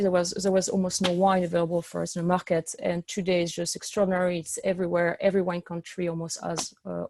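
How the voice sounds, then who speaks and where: A French-accented woman leads an online wine tasting over a video call, chatty and enthusiastic. Her voice is mellow and dark in tone, and it sounds dry and close, with no room around it. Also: mid-range voice, no echo. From France. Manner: chatty and enthusiastic, with frequent fillers and restarts. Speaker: sommelier and webinar host. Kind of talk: online wine seminar presentation.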